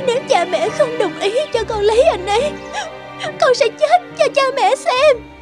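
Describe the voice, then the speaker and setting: A woman's voice: very high voice, animated, moderate pace, loud music behind the voice. A woman playing a devoted young daughter in love, earnest and imploring, in a fairy-tale cartoon dub. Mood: distressed.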